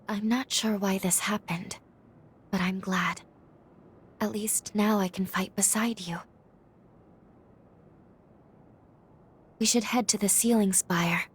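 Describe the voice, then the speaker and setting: A woman playing a gentle, dutiful young heroine in a video game, speaking softly in formal, earnest, measured phrases. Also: mid-range voice, a little hiss or hum, lightly acted. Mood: quiet, resolute. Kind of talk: dramatic video game cutscene acting. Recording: game music behind the voice.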